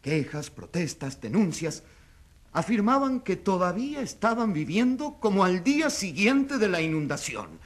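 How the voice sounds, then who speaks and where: A man's voice: high voice, sing-song, normal speed. A man playing an indignant opposition newspaper editor testifying in a radio courtroom drama, with emphatic, rhetorical delivery. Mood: grave, indignant.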